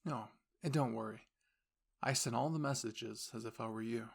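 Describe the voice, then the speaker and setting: A man's voice: mid-range voice, creaky. A man voicing a composed, formal young university student and caring boyfriend, speaking intimately and reassuringly to the listener in an audio roleplay. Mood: calm, reassuring. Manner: measured, formal, gently reassuring phrasing.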